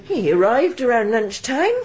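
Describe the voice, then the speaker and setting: A woman's voice: on the high side, a little slow. An actress playing a chatty, gossipy woman innkeeper in a radio drama. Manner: gossipy, homely talk. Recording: archive radio drama with steady hiss.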